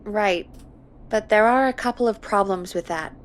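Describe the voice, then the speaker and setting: An actress playing a frank, practical radio producer in a video game, talking in a matter-of-fact, slightly wry way. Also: female voice, medium pitch, moderate pace, slight background noise, natural voice. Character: practical, frank woman radio producer in 1980s small town. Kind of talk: video game voice acting, conversational.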